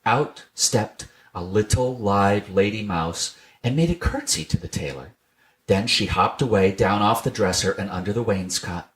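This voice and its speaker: Low-voiced man reading a storybook. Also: animated, moderate pace, fairly bright tone. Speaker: storybook reader. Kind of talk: scripted narration of a children's tale.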